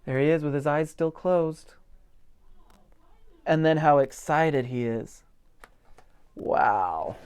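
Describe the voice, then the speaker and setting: Slow-paced man reading a children's story. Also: a little high, clean tone. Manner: gentle, expressive, stretches key words for emphasis. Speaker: pastor reading a children's picture book aloud. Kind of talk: expressive storytime reading with simple asides to children.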